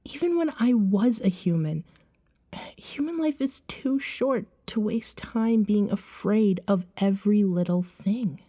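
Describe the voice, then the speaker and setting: A woman playing a calm, seductive vampire in an ASMR roleplay, soothing and hypnotic, often slipping into a whisper. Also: mid-range voice, unhurried. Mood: frank, curious.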